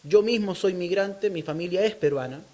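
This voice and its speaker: A man with a Chilean accent and a notably high voice speaks as an interviewee. He lays out his opinions in an explanatory, thoughtful way, often ending a point with a short questioning tag. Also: moderate pace, warm tone. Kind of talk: thoughtful interview talk on local culture.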